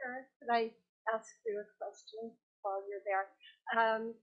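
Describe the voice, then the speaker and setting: A woman's voice: a little high, fairly even intonation, normal speed. A woman commissioner questioning staff at a public meeting in a careful, probing manner. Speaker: planning commissioner. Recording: thin phone-like meeting audio.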